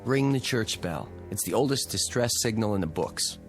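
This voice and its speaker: A man's voice: on the low side, expressive, normal speed, lightly acted. Male actor as cynical, short-tempered husband. American, dismissive and swooping delivery, mid-century TV drama with music behind. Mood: wry, determined. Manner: sardonic, gruff, dismissive. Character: cynical, irritable husband stranded in a strange town.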